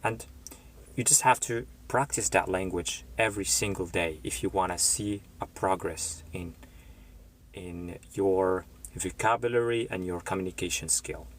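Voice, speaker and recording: A man hosts his first live stream as an English tutor. His voice sits low and his delivery is slow and unhurried, full of small pauses and fillers as he reads the chat and greets viewers by name. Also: slight background noise. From Morocco.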